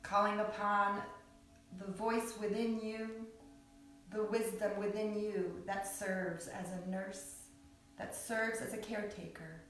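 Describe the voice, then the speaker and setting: A woman guiding a yoga nidra meditation in a soothing, unhurried way, with long pauses between short phrases. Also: medium pitch, steady tone. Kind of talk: guided meditation. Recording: echoey, distant mic with background music.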